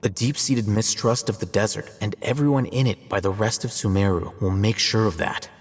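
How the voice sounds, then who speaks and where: An actor playing a calm, measured male academy scribe who explains things in a scholarly way in a video game. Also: fairly low pitch, close to an everyday voice. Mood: explaining. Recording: long reverb with game music.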